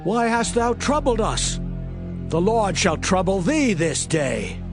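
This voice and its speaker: A man's voice: high voice, very animated, takes it slowly, exaggerated voice. A man playing an earnest, grave Israelite leader in a dramatized audio Bible, with solemn, declamatory archaic speech. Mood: grave rebuke. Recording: background music under the voice.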